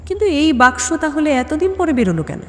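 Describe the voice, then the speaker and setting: A woman voicing a grave, astonished male companion in a detective audio story, questioning and deliberate. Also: extremely high pitch, big pitch swings, fairly bright tone, faint music behind, voice pushed up, heavily acted. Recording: long hall reverb.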